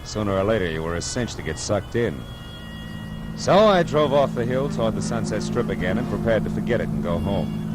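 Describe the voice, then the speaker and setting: An actor playing a wry, skeptical private detective in a 1940s radio drama, speaking in clipped, sardonic slang. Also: male voice, fairly low pitch, natural voice. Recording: old radio broadcast under truck noise.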